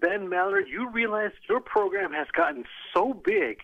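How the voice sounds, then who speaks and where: A man calling a sports radio show, arguing his point in an animated way and often cutting in. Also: high-pitched, moderate pace, creaky, no echo. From Los Angeles. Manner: animated, argumentative, interrupts. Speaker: caller. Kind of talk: excited sports radio call. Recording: phone line.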